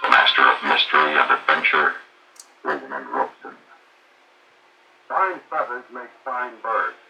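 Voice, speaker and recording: An actor playing a theatrical, suspenseful announcer of a mystery radio show, declaiming through a vintage radio speaker. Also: male voice, extremely low pitch, animated, unhurried, pushed and bright, slight background noise, full character voice, rough recording. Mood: dramatic, suspenseful. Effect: played through a vintage radio speaker.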